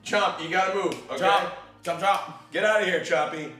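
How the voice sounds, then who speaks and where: A man's voice: high voice, brisk, sharp tone. A man coaxing his cat, repeating simple commands over and over. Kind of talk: talking to a cat in a clip played on stream. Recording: roomy distant clip audio with music.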